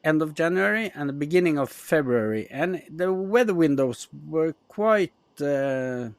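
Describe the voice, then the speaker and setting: A man's voice: fairly high pitch, lively intonation, unhurried, dry recording. A man hosting a podcast, introducing the episode and interviewing guests. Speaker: podcast host.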